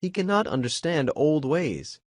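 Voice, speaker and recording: Firm worried grandmother, put on by a man, raised pitch, dry close sound. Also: big pitch swings, measured pace, no echo. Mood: scolding.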